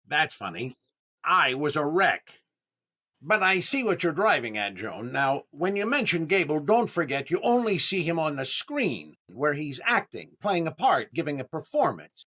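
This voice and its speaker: Vain, wounded radio comedian, slow and animated. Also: male voice, fairly high pitch, heavily acted. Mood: insistent. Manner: deliberate, indignant comic delivery with dramatic pauses. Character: vain, hapless comedian desperate to prove his sex appeal. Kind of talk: 1930s radio comedy acting.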